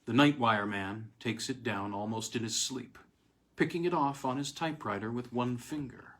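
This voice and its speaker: Guy reading an old spooky story, medium pitch, dramatic pauses, leans on key words. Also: fairly bright tone. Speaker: reader of vintage weird fiction. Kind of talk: dramatic first-person story narration.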